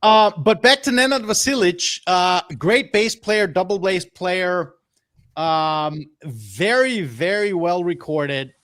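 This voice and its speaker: A man's voice: sits high, lively intonation, slow, fairly bright tone, no room sound, studio quality. A man presenting music picks on an audio roundtable show, enthusiastic and rambling, often repeating words. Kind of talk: casual roundtable chat.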